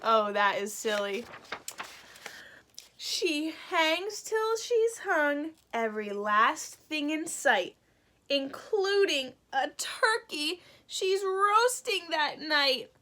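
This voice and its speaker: A woman reading a picture book aloud to young children, animated and expressive, pausing to explain words simply like a teacher. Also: sits high.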